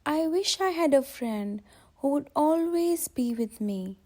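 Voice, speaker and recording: A woman's voice: sits high, animated, unhurried, darkish tone, pitched above the performer's own voice. A woman voicing a curious, kind and earnest five-year-old boy in a bedtime story. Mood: wondering. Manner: wondering, eager. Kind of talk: children's bedtime story dialogue.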